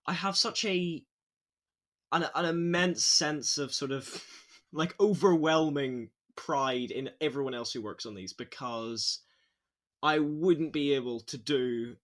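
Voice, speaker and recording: A man's voice: on the high side, takes it slowly, a bit bright. An Irish man, an audio drama actor, chatting casually and effusively, often stammering and restarting his phrases. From Ireland. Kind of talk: casual behind-the-scenes chat.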